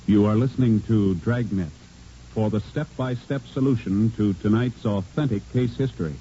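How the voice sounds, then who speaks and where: A man announcing a vintage radio drama in a formal, deliberate, scripted manner. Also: on the low side. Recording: muffled vintage radio recording.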